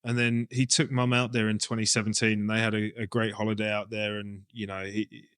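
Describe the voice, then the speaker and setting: A man's voice: lowish voice, flat delivery, brisk, a bit bright, smooth, no echo, clean studio sound. A man with an English accent talking casually as an interview guest, explaining his ideas informally as he thinks them through. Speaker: rugby coach and club director, interview guest.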